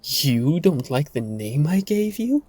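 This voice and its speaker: A man voicing a cocky young swordsman in a dark fantasy audiobook, with a playful, taunting manner. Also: on the high side, very animated, slow pace, slightly rough, no echo, pitched above the performer's own voice, full character voice. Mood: playfully mocking. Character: cocky teasing young male fledgling swordsman.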